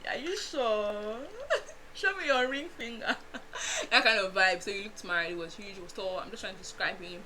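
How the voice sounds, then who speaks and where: A young Nigerian woman with a Nigerian accent, chatting casually to her viewers in an animated storytime vlog, laughing often and making asides. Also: mid-range voice, moderate pace, slight background noise. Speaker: vlogger.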